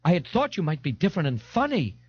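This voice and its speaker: A man's voice: fairly high pitch, sing-song, moderate pace, darkish tone, breathy edge, lo-fi sound, pitched above the performer's own voice. A man voicing an elegant, fussy male party host with a fretful, theatrical manner in an audiobook. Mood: peeved.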